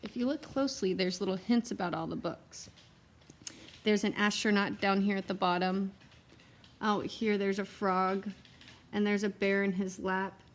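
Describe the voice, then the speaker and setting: A woman reading a picture book aloud to young children in an expressive way, with simple asides to her listeners. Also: medium pitch, moderate pace, slight background noise. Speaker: read-aloud teacher and storyteller.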